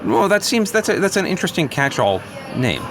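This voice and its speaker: A man's voice: fairly high pitch, big pitch swings, fairly quick, noisy background. A man improvising a radio-play role as a manic, defensive office worker who philosophizes in earnest, hesitant rambles. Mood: agitated, philosophizing.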